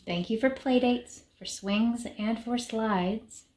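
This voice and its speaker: A woman reading a rhyming children's picture book aloud in short, measured phrases with pauses. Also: a little high, a little mellow. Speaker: reader of a children's story. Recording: background noise with clicks.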